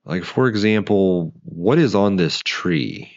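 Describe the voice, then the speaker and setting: A man's voice: deep voice, animated, slow, dull audio, slight background noise. A man narrating his overlanding and camping trip in a casual, upbeat way with dry humor. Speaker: overlanding vlogger.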